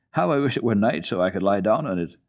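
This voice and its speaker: A man's voice: lowish voice, lively intonation, brisk. A man voicing an eager, sweet little girl with an earnest, wondering manner, in a children's story from an old radio recording. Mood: delighted. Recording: muffled, lo-fi archival radio recording.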